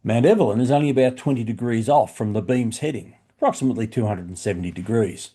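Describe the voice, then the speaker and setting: Mellow Australian man explaining things. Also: mid-range voice, fast talker, husky. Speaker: shortwave radio hobbyist presenter. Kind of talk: explanatory narration for a hobby video.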